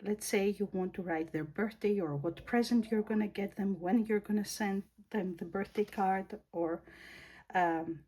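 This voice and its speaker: Slow, husky Greek woman reviewing planners. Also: mid-range voice, dark tone. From Greece. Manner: slow, thoughtful, searching for words. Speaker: planner vlogger. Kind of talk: casual product review.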